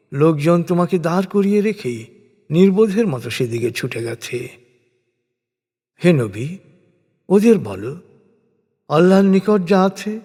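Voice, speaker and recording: A man narrating translated scripture in a solemn, measured, declamatory scripted style. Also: on the high side, a little mellow. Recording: long reverberant hall-like echo.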